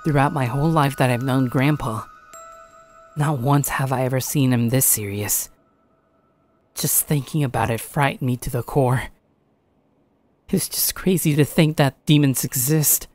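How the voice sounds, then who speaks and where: A woman's voice: very deep voice, measured pace, long pauses, darkish tone, soft background music. A woman playing an anxious young grandchild who earnestly and dramatically narrates a horror tale. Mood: uneasy narration. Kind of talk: first-person scripted horror narration with acted dialogue.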